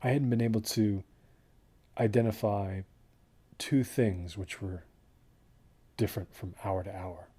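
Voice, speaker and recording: A man acting as a haunted, unravelling desert hiker who recounts his trek in a halting, introspective monologue with a husky voice. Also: lowish voice, fairly even intonation, warm tone. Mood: uneasy, haunted. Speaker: first-person narrator of a horror audio drama. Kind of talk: confessional dramatic monologue.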